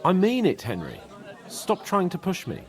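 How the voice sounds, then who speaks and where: A man's voice: high voice, wildly swooping pitch, moderate pace, heavily acted. A man playing a haughty, spoilt young nobleman in a video game, pompous, sardonic and theatrical. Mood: indignant.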